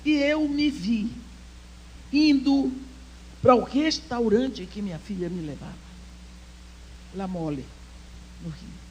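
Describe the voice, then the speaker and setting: A woman's voice: a little high, slow, long pauses, dull audio, a little hiss or hum. An older woman speaking at a church women's conference, telling a personal story with feeling, dropping to near-whispers and rising to urge her listeners on. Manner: heartfelt storytelling, swings between soft near-whispers and emphatic exhortation.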